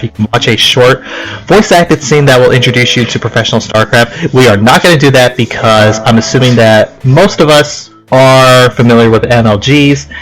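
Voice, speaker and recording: A man's voice: medium pitch, fairly bright tone, poor audio. A man from Okinawa doing casual game commentary and reading on-screen text, in a rambling, self-deprecating, giggly manner. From Okinawa, Japan. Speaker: let's play host. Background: game music.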